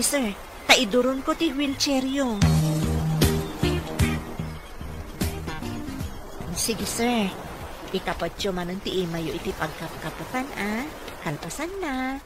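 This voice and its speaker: An actress playing a dutiful, encouraging caregiver in an Ilocano-accented radio drama. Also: female voice, medium pitch, expressive, measured pace, over loud music, lightly acted. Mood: respectful, concerned. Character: dutiful, encouraging young woman caregiver to a wheelchair-bound boss. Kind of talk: Filipino radio comedy-drama acting.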